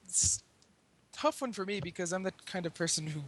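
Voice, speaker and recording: A man's voice: high voice, animated, a little fast, fairly bright tone. A man on a podcast panel chatting in an earnest, wordy, reflective way. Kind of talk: casual chat. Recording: noisy hiss behind voice.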